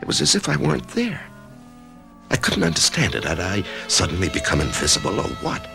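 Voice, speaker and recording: A man's voice: medium pitch, wildly swooping pitch, fairly quick, gravelly, over music, exaggerated voice. An actor playing a troubled middle-aged office man who narrates his own story in a 1990s radio drama, hesitant and brooding. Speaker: narrator-protagonist.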